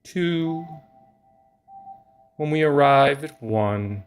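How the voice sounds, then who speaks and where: A man narrating a guided relaxation meditation in a soothing, lulling manner, leaving long pauses between short phrases. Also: on the high side, expressive, clean tone.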